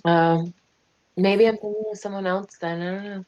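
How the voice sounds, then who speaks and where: A woman's voice: mid-range voice, fairly even intonation, normal speed, a little mellow. A woman playing in an online tabletop game, hesitant and full of fillers as she fishes for information, over slightly muffled online audio. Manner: hesitant, fishing for clues with fillers. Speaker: player. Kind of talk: casual tabletop game play. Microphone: video call mic.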